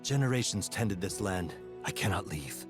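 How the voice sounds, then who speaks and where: Steadfast village fighter over music. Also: male voice, deep voice, expressive, normal speed. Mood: determined. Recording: music playing behind the voice.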